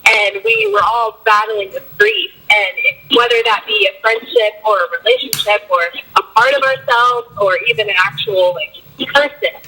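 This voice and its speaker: Slightly hoarse young woman, lively. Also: on the high side, moderate pace, poor audio. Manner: loose, filler-heavy talk with frequent likes and ums. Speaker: band member. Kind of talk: casual interview answers.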